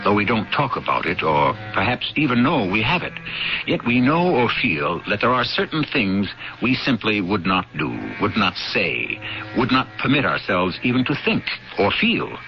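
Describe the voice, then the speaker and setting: A dull old radio recording with music carries a man hosting a mystery drama. He narrates in a low voice, measured and reflective, with expressive rises and falls. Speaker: host.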